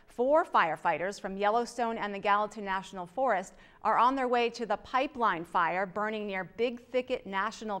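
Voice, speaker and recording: A woman's voice: mid-range voice, fairly bright tone. Middle-aged woman anchoring a newscast. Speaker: news anchor. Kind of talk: scripted news narration. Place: TV news studio.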